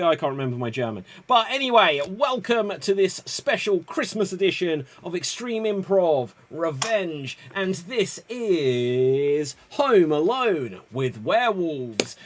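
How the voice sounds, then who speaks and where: A man's voice: high voice, a little hiss or hum. Upbeat rambling male host. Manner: upbeat, rambling, self-correcting. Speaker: improv show host. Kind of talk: energetic game-show hosting. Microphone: video call.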